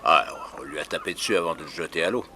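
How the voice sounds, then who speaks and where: A man playing a gruff, colloquial police inspector in a 1960s French radio crime drama. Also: extremely low pitch, racing, bright, forceful tone, a little hiss or hum, heavily acted. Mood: matter-of-fact, grim. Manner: colloquial, interjecting, deferential to the detective.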